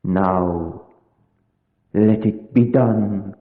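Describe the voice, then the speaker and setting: Solemn low-voiced vampire hunter. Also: male voice. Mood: urgent, reverent. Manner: solemn, deliberate, formal old-world phrasing. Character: grave older foreign vampire hunter and scientist, steadfast and pious. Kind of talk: old-time radio drama acting. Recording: vintage radio, tinny narrow band, echoey hall reverb.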